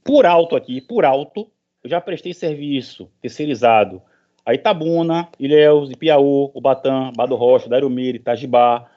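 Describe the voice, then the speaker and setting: A man's voice: mid-range voice, normal speed, dark tone. A middle-aged professor, a man addressing an online meeting in an informal, emphatic, motivational way, repeating phrases for stress. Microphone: online meeting call. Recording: dull lo-fi online call audio.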